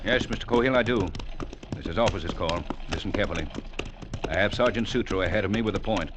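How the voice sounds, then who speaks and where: A stern, shrewd cavalry captain, acted by a man. His voice is low, clipped and full of authority. The old-time radio drama recording has clear background noise. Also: fast talker, close to an everyday voice. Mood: stern, commanding. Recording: noisy vintage radio recording.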